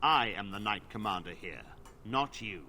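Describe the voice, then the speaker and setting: A man's voice: fairly low pitch, lively intonation, normal speed, bright, forceful tone, exaggerated voice. An actor playing a stern, authoritative male knight commander in a video game, speaking firmly. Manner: firm, commanding.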